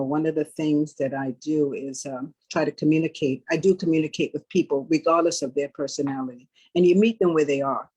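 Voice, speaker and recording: A woman answering an interview conversationally, explaining things in a measured, plain-spoken way. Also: deep voice, darkish tone, low-quality recording. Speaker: stage play cast member.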